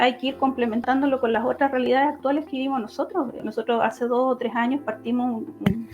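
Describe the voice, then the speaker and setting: A woman with a Chilean accent giving explanatory answers in an online debate, halting and often restarting her phrases. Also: on the high side, a little mellow. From Los Ríos region, southern Chile. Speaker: political candidate and law lecturer. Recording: dull lo-fi online audio, music behind.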